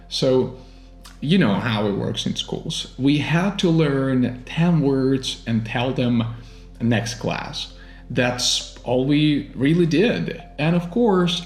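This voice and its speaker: Slow-talking Russian-born man, echoey room. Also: mid-range voice. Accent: American. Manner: calm, reflective, addresses viewers as guys. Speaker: English teacher and channel host. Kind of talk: personal storytelling vlog.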